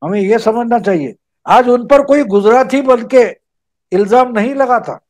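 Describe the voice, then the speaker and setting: High-voiced older man arguing emphatically. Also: a little slow, a little mellow. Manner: emphatic, repeats key phrases, lists names. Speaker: political commentator guest. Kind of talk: video call interview, explanatory argument. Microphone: video call.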